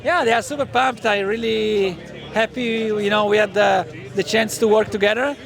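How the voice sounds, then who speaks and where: High-voiced guy with a gravelly edge, halting answers, loud crowd behind him. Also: steady tone. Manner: halting, searching for words. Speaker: racer. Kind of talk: press day interview. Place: outdoors at a race track. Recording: outdoor crowd noise.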